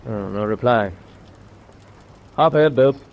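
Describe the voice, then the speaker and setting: An actor with a British accent playing a bold, decisive destroyer captain in a war radio drama, giving clipped orders. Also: male voice, mid-range voice, unhurried, gravelly, narrow, tinny audio. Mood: decisive, commanding. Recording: faint background noise.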